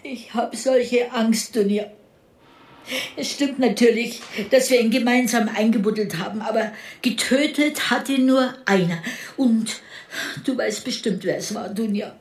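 A woman's voice: a little high, a little slow, a little mellow, natural voice. An actress playing an anxious village woman in a radio drama, pleading in a fearful, fretful way. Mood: panicked, pleading. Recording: echoey, reverberant room.

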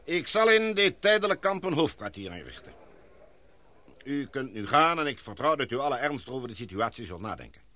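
A man's voice: medium pitch, big pitch swings, bright, forceful tone, no echo. An actor playing a cautious, authoritative male expedition leader in a radio drama, speaking formally and. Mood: formal, commanding. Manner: formal, measured, commanding. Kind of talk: Dutch radio drama acting.

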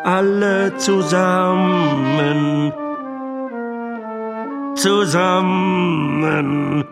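Deliberate agitator declaiming over loud music. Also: male voice, high-pitched, steady tone, vocal fry, exaggerated voice. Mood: chanting, mocking. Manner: deliberate, rhetorical, shifting from spoken declamation to drawn-out chant. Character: male agitator proclaiming a bitter revolutionary pamphlet. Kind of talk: declaimed political pamphlet in a musical audio drama. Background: music with brass, trombone.

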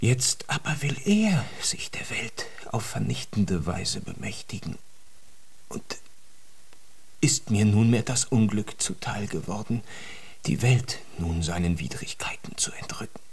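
Flustered orchestra conductor, husky voice, wordy, agitated, formal, radio play. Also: male voice, lowish voice, sing-song, measured pace, whispered, full character voice. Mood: shaken, bitter. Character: flustered, fretful orchestra conductor and concert organizer, a man. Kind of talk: German radio drama acting.

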